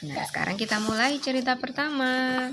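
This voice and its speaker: A woman reading a storybook aloud to her young child at night, gently asking the child questions along the way. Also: high-pitched, music in the background. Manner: slow, gentle, coaxing, asks the child questions.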